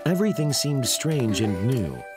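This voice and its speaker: Expressive male storyteller over prominent music. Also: medium pitch, a little slow. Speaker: narrator. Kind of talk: scripted children's story narration.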